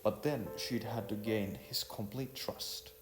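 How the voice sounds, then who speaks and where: Male narrator for an audio novel: unhurried, halting delivery, roomy sound over music. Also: on the low side. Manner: halting, repeats phrases. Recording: echoey room with music underneath.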